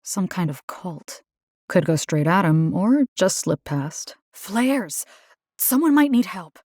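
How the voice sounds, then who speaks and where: A woman's voice: fairly low pitch, lively intonation, slow pace, a little mellow, no room sound, clean studio sound. An actress playing a caring, tough young woman survivor in a video game, talking in a casual, wry way. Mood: alert, dry. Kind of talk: video game character dialogue lines.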